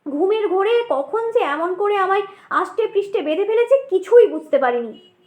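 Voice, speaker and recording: A woman's voice: very high voice, animated, normal speed, a little mellow, roomy sound. A woman narrating a ghost story in the first person, in a theatrical, suspenseful storytelling manner.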